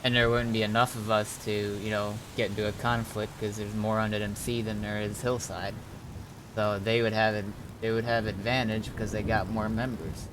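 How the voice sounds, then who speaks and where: Man thinking aloud, noisy background. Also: on the low side. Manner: halting, deliberating, mulling things over. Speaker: streamer and roleplay gamer. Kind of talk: casual game stream talk, thinking aloud.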